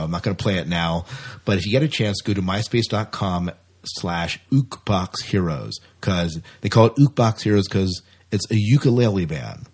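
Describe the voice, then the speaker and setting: A man with a fairly low, noticeably husky voice hosts a podcast. He talks in a casual, chatty manner and now and then doubles back on his phrases. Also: a little mellow. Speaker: podcast host.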